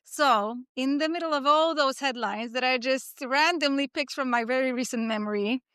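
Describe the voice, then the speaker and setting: A woman host from western Romania with a Romanian accent, measured and a little hesitant as she interviews a guest. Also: high-pitched, fairly bright tone, clear, resonant tone, no room sound, studio quality. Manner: measured, with hesitant ums and restarts. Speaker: podcast host. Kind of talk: interview podcast.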